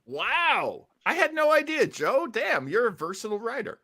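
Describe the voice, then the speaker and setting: A man's voice: very high voice, very animated, normal speed, sharp tone. A man hosting a casual live group commentary, jokey and self-deprecating. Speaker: host of a listening party.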